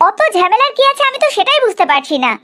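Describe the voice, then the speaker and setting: A woman's voice: very high voice, big pitch swings, racing, pushed and bright, full character voice. A woman playing an indignant, bossy middle-aged mother in a cartoon family drama, scolding and exclaiming.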